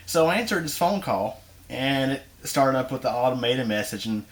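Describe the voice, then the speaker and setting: A man's voice: mid-range voice, a bit bright. A man in a casual vlog, rambling with folksy words and plenty of ums. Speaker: YouTuber. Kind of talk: casual vlog talk to camera.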